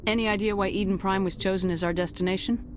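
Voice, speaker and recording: A woman's voice: medium pitch, steady tone, fast, lightly acted. A woman acting a tough, no-nonsense marine commander in a video game, curt and authoritative. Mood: brisk. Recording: music behind the voice. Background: music.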